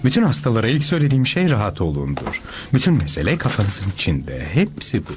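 An actor, a man, playing a coaxing, patronising and slightly fumbling therapist in an animated cartoon dub. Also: lowish voice, fast talker. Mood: reassuring. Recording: loud music behind the voice.

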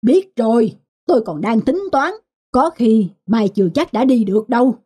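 A woman's voice: a little high, expressive, normal speed, dark tone, husky. A woman voicing a superstitious, stubborn middle-aged male householder in a folk-tale cartoon, fussy, with music behind.

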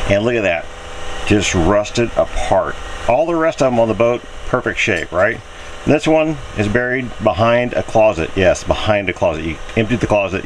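Low-voiced man giving a casual boat tour. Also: slight background noise, poor audio. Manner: chatty, pointing things out as he goes. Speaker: sailing vlogger. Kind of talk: casual show-and-tell vlog. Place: aboard a sailboat, cabin and deck. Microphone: handheld camera mic.

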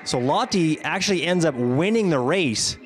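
A man giving scripted highlights commentary on a sports competition as the commentator. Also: expressive, moderate pace, music in the background.

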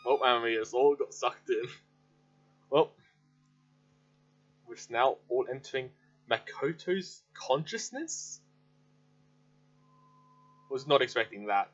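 A man's voice: mid-range voice, lively intonation, slow, long pauses. A man giving casual playthrough commentary on a video game. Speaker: gaming video creator. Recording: dull lo-fi mic, faint game music. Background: faint game music.

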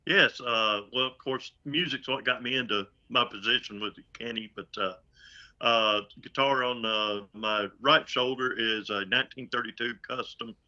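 A man's voice: medium pitch, steady tone, normal speed, pushed and bright. An older man speaking as an interview guest over a video call from home, easygoing and folksy with chuckles. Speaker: guest, concert road crew member. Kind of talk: casual interview chat. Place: home room. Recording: dull video call audio.

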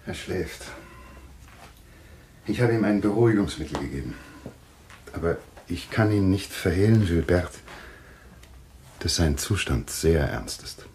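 Grave doctor, radio thriller. Deep, deliberate, insistent, expressive inflection. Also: male voice. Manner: deliberate, explanatory, emphatic. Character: composed, authoritative male doctor, grave and insistent. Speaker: actor as a doctor. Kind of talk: German radio thriller acting. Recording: slight background noise with clicks.

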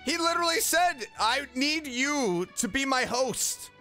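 A male gaming host reacting casually as he plays. His voice is high and forceful, with lively swings and exclamations. Faint game music sits behind him. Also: unhurried. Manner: animated, exclaiming. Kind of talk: casual reaction commentary over gameplay.

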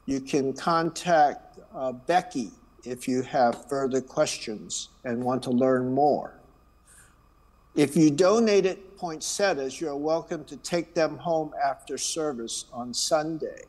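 Slow-speaking man. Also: medium pitch, darkish tone. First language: Chinese. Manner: unhurried, friendly, with small asides and laughs. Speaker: worship leader reading announcements. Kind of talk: church announcements and call to worship. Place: church sanctuary.

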